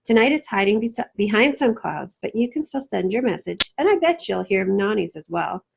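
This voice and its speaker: A woman voicing a patient, encouraging mother in a children's storybook, with a gentle, coaxing manner. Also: mid-range voice, sing-song, moderate pace, gravelly, noisy background. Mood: reassuring.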